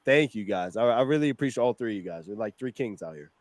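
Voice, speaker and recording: A man hosting a casual online chat, enthusiastic and quick to laugh. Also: on the low side, expressive, no room sound. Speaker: show host.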